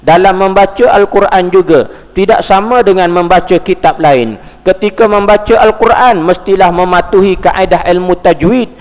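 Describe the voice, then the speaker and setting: A man with a Malaysian Malay accent delivers a mosque lecture in a high voice. He mixes formal reading with chatty asides and rhetorical questions. The dull recording carries the long reverb of a mosque hall. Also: moderate pace. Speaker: religious teacher.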